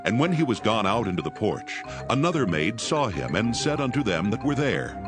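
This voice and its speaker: A man with a fairly low voice narrates a dramatized scripture. His delivery is solemn and scripted, with music playing clearly behind him. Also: sing-song, normal speed. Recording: background music under the voice.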